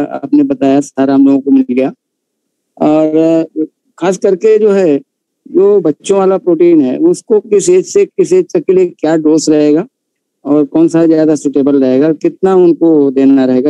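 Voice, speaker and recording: A man's voice: fairly high pitch, warm tone, clean tone, no room sound. A man politely asking questions at length as a participant on an online call. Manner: wordy, courteous. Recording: muffled, dull online-call audio.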